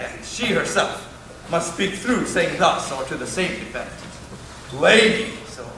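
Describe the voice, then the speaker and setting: Echoey, very high-pitched bossy man playing a amateur thespian, Bottom. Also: sharp tone, full character voice. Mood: pleading, theatrical. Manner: bombastic, commanding. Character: Bottom, bossy, eager weaver and amateur actor. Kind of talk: theatrical Shakespearean stage acting. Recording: echoey room, distant mic, faint noise.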